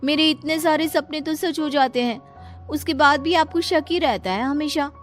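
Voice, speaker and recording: A woman's voice: sits high, moderate pace, natural voice. A woman voicing a stubborn, insistent young daughter-in-law in a cartoon family story. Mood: protesting. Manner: insistent, pleading. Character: stubborn young daughter-in-law who trusts her dreams. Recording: faint music behind the voice.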